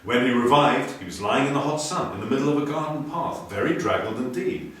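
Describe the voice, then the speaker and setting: A man reading a children's story aloud with theatrical expression and clear enunciation. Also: mid-range voice, lively intonation, fairly quick, fairly bright tone. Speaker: storybook reader. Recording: very echoey room, distant mic.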